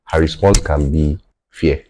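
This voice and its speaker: A man's voice: a bass voice, a little slow, warm tone. A man in his thirties with a Nigerian accent, a native Yoruba speaker, earnestly explaining his views as a podcast guest and often checking in with "right?". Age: 30s. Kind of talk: reflective conversational podcast talk.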